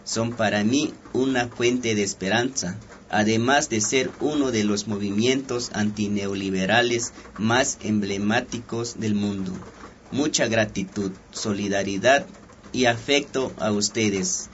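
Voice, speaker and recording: A man radio announcer reading listener messages aloud in a calm, even way. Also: lowish voice, measured pace. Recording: dull lo-fi radio with faint hiss.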